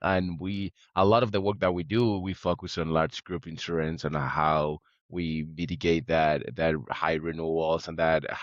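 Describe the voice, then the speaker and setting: Very deep-voiced man, dull dry mic. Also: level tone, moderate pace, few pauses, no echo. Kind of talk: casual interview chat. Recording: slightly dull, dry recording.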